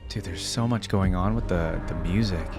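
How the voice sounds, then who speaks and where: A man's voice: sits low, moderate pace. A man giving casual, exclamatory reaction commentary as an informal video host, with game theme music behind him.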